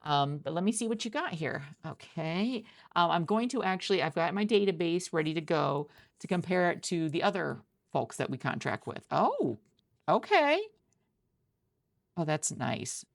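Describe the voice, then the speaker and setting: Low, creaky-voiced woman in ASMR interview roleplay. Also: very animated, moderate pace.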